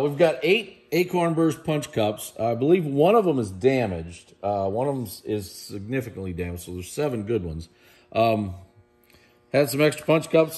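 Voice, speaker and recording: A man's voice: mid-range voice, darkish tone. A middle-aged man presenting auction lots in a casual, enthusiastic way, recorded on a handheld camera in a large warehouse room. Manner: enthusiastic, chatty, praises pieces. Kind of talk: casual walkthrough of auction items.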